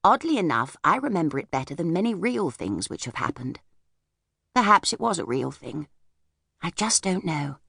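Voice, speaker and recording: A woman voicing a reserved, wistful older lady in an audiobook, speaking gently and hesitantly as she looks back. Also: lowish voice, very animated, moderate pace, vocal fry, breathy edge, no echo, clean studio sound, heavily acted. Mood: reflective. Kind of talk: audiobook character voice.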